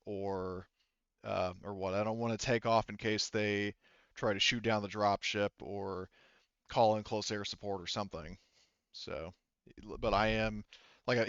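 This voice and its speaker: Male tabletop game master, smooth low voice, casual and halting, explanatory; slightly dull headset chat audio. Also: fairly bright tone, no room sound. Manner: halting, self-correcting, explanatory.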